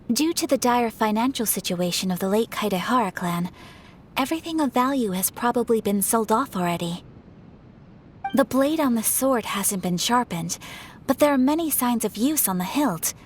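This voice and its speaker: A woman's voice: a little high, slight background noise, close to an everyday voice. A woman playing a diligent, matter-of-fact investigator in a video game, precise and formal.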